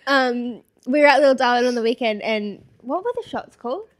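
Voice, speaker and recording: A young woman with a slightly high voice laughs her way through a casual podcast chat, reading out mean comments with mock voices. Her talk is loose and playful. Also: fairly quick. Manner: giggly, reads comments aloud with mock voices. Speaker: podcast co-host.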